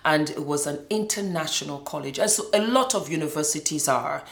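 A middle-aged woman with a fairly low voice recounts her own life in a roomy, echoing space. She speaks with emphasis, often repeating words to drive them home, in the manner of a personal testimony. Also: fairly quick, gravelly. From Nigeria and Scotland. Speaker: former model telling her life story. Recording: roomy, echoing indoor sound.

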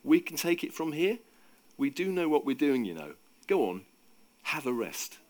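Storybook role: cocky, forceful fisherman, played by a male reader, highly animated delivery. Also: moderate pace. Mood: teasing, coaxing. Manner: bossy, teasing, forceful. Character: cocky, bossy fisherman disciple, panicking and bellowing in storms. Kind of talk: dramatised story reading.

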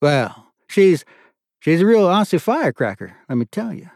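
A man voicing an authoritative middle-aged army colonel who talks in a commanding, booming way. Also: sing-song, normal speed, creaky, a little mellow, no room sound, clean studio sound, full character voice. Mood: jovial.

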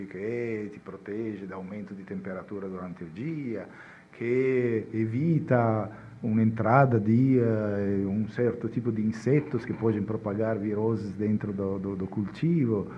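A man from Italy speaking Portuguese with an Italian accent, a guest expert explaining in a halting way with many restarts. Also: lowish voice, dark tone, muffled recording, a little hiss or hum. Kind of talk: casual interview chat.

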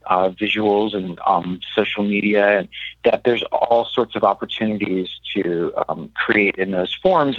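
Man, low voice, thoughtful halting interview guest, from Miami, dry slightly dull radio sound. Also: dry recording. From Miami, United States. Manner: thoughtful, halting, searching for words. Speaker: interview guest, playwright and mentor. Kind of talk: reflective interview talk. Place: radio studio.

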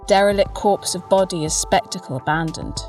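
A woman with a fairly low voice reads from working notes. Her phrasing is measured and clipped, and she sounds a little away from the microphone, with faint music underneath. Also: steady tone, darkish tone. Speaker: reader of an artist's working notes. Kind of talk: scripted reading of fragmentary notes. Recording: slightly distant mic, music underneath.

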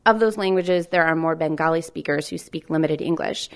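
Woman reporter, measured low voice. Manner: clear measured narration, friendly questions. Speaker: radio reporter. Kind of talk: news report with field interviews.

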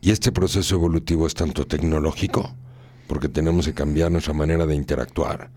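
A man's voice: a bass voice, fairly even intonation, fairly quick, mellow, slightly rough. A man hosting a radio talk show, moralizing and repeating phrases for emphasis. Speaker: radio host.

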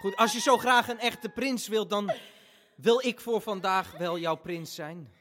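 An actor playing a playful, excitable little brother who tells fairy tales in a theatrical family audio play. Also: male voice, sits high, unhurried, a little hiss or hum. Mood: playful, tender. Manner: exaggerated, playful delivery.

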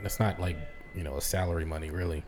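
A man co-hosting a podcast, chatty and jokey in a lively way. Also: low-pitched, very fast, faint music behind. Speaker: podcast co-host. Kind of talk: casual chat.

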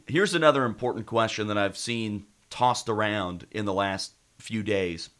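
Bright-voiced American radio host with a cold. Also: male voice, fairly low pitch, normal speed. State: congested with a head cold. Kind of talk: casual sports talk radio.

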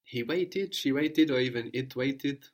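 Male language tutor, patient and explanatory, mid-pitched voice. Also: a little slow. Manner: patient, explanatory, prompting with questions. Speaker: language teacher. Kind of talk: audio language lesson.

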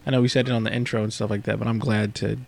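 A thirty-year-old man with a gravelly voice, co-hosting a podcast in a laid-back, informal way with some swearing. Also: on the low side, level tone, racing, darkish tone. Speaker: podcast co-host. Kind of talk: casual podcast chat.

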